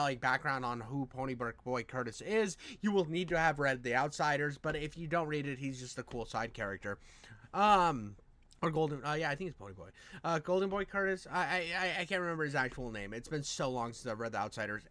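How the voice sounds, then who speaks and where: Man, casual reaction host, sharp bright voice, dry sound, effusive, rambling, sweary. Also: mid-range voice, no room sound. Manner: effusive, rambling, slangy and profane.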